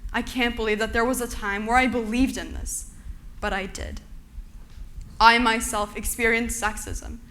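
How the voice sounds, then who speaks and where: Young woman from Turkey, slightly high voice, earnest and measured public speaker. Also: steady tone, fairly bright tone. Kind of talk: prepared public talk.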